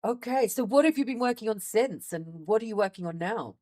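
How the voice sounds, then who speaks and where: A woman's voice: on the high side, lively intonation, fairly quick, a little mellow. A woman hosting an interview, asking engaged, encouraging questions about filmmaking.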